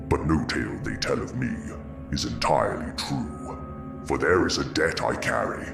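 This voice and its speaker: A man voicing a haunted old undead hunter in gothic horror narration, gravelly, brooding and ominous. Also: heavily acted. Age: older. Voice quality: gravelly, very deep. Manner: slow, brooding, ominous storytelling. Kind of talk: scripted first-person gothic horror narration. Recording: roomy echo over music. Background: music.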